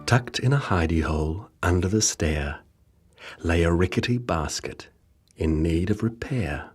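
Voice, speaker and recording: Deep-voiced man slowly reading a storybook. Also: animated, faint music behind. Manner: measured, rhythmic, theatrical storytelling. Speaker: audiobook narrator. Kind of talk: scripted children's storybook narration in rhyming verse.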